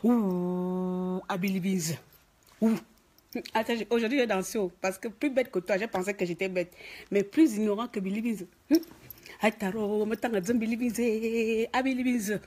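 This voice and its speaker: Husky gabonese woman going off on a political rant, mocking, repeating herself for punch. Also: medium pitch, steady tone, normal speed, a little mellow. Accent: Gabonese French. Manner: scolding, mocking, emphatic repetitions. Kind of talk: ranting political commentary to camera. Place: office.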